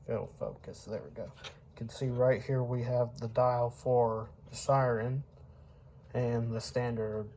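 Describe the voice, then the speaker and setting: A young man in his early twenties talks slowly at a middling pitch. He is casually showing off gear as a hobbyist, often stopping mid-sentence. Faint noise sits behind him in a home recording. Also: mellow. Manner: halting, pausing mid-sentence. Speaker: hobbyist showing weather radios. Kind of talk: casual unscripted product demonstration.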